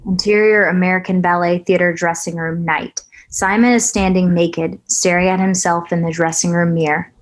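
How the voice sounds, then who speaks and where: A woman reading stage directions aloud at a script table read, even and matter-of-fact. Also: lowish voice, normal speed, hardly any pauses.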